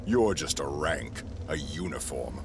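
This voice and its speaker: A man playing an imperious, contemptuous and power-hungry dark lord in an audio drama, speaking deliberately with menace. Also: very deep voice, animated, background noise, full character voice. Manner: slow, deliberate, condescending.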